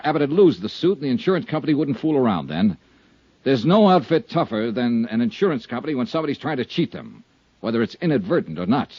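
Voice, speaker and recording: A man's voice: mid-range voice, darkish tone, breathy edge, dry recording. A man playing a hard-boiled insurance investigator who narrates an old radio detective drama in a firm, pressing way. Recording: dull old radio recording.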